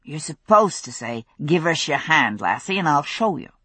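Gossipy vendor guy, loud and folksy, lively delivery, voiced by a woman. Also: deep voice, normal speed, slightly rough, no echo, exaggerated voice. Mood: chatty. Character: chatty middle-aged male food vendor.

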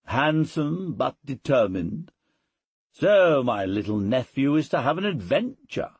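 A man's voice: mid-range voice, sing-song, slow, breathy edge, dry recording, pitched above the performer's own voice, heavily acted. A man voicing a genial, boisterous Roman nobleman and uncle in an audiobook, speaking heartily. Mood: hearty. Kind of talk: audiobook character dialogue.

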